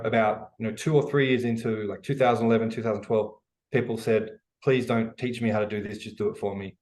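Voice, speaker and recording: An Australian man with a lowish voice, talking quickly and with energy as a podcast guest. He tells stories in a loose way, full of fillers and restarts. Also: darkish tone. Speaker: guest, e-commerce educator. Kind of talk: podcast interview.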